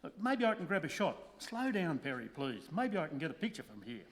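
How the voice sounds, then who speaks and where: A man playing an excitable, pleading press photographer in a comic radio play, stammering and repeating himself with urgency. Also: a little high, a little fast. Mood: pleading, awed. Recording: reverberant hall.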